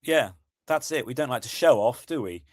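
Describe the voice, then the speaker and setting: British bloke with a bit of a cold, kinda croaky, relaxed chat, mid pitch, dry close mic. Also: male voice, normal speed, no room sound. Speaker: podcast co-host. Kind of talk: casual chat.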